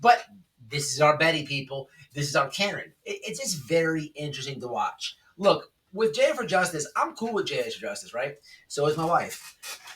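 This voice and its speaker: A man's voice: fairly high pitch, very animated, moderate pace, fairly bright tone, distant mic. A man giving casual, off-the-cuff drama commentary as a host, speaking emphatically, repeating himself and often checking in with the listener. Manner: emphatic, repetitive, checks in with "okay?" and "right?".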